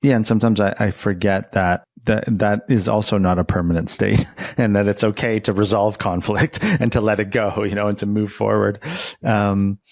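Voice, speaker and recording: Low, creaky-voiced man hosting a casual interview. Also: fairly even intonation, moderate pace, dry recording, clean studio sound. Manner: tentative, informal, thinking aloud. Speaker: interview host. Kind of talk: casual reflective conversation.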